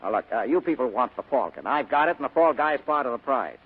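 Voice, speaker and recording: An actor playing a cool, wisecracking hard-boiled private detective in 1940s radio style, clipped and cynical. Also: male voice, mid-range voice, big pitch swings, quick pace, slightly rough. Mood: hard bargaining. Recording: muffled vintage radio recording.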